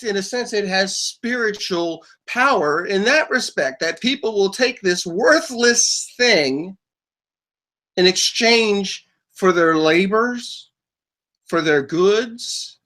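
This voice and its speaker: High, bright-voiced man. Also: lively intonation, slow. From United States. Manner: stammering restarts, chuckles, explains at length. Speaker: podcast host. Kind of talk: conversational talk show.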